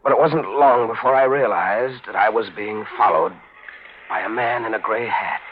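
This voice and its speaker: A man's voice: lowish voice, normal speed, lightly acted. An actor playing a bewildered, desperate man telling his own nightmare with intense 1940s radio mystery drama. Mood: haunted, grim. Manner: intense, dramatic first-person delivery. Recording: dull vintage 1940s radio recording, music behind.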